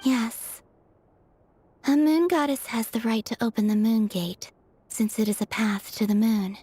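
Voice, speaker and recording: A gentle moon goddess from a fantasy game speaks softly and serenely. Her voice is a little high, set over quiet music. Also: a little slow, breathy edge.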